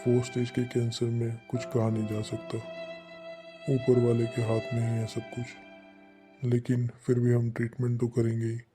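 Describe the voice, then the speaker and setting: An actor playing a doctor who calmly and gravely gives a family terrible news. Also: male voice, mid-range voice, natural voice. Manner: grave, measured, consoling. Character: calm hospital doctor delivering bad news. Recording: faint music behind.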